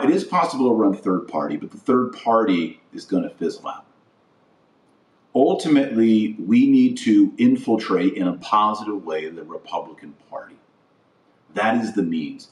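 Male podcast host, low register, emphatic and deliberate speechmaking, echoing room. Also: a little mellow. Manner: emphatic, deliberate, repeats phrases for weight. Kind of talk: political-religious monologue to an audience. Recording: roomy echo, distant microphone.

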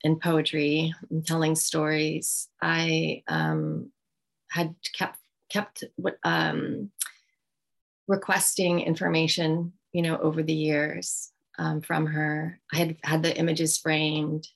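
Low, gravelly-voiced woman author, halting. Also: lively intonation. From United States. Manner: thoughtful, halting, many ums. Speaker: author guest. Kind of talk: reflective interview answer.

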